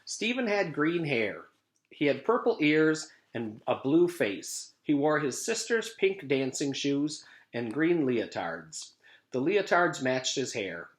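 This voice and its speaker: A man narrates a children's storybook like a teacher at read-aloud time. His pitch is medium and very animated. The room around him is noticeably echoey. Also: a little slow. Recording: echoey room, home-made reading.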